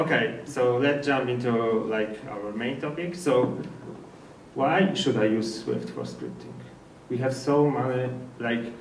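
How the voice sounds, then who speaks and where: Polish man presenting in an echoey room. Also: medium pitch. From Poland. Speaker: speaker at a developer meetup. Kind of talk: informal technical presentation. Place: indoor meetup presentation room. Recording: echoey room, distant mic, faint noise.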